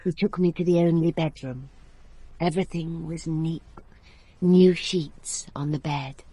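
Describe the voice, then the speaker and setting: An actress playing a cultured, candid young woman in a British radio drama, speaking and narrating in a wistful, measured way. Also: sits low, fairly even intonation, warm tone, no room sound. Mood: wistful recollection. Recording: radio drama with clear background noise.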